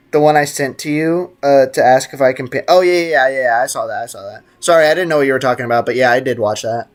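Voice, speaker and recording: A man's voice: mid-range voice, fast talker. A man live streaming a video game, chatting casually and offhandedly with viewers and joking in slang. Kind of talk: casual live stream chat and gameplay commentary, reading out chat. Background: game music.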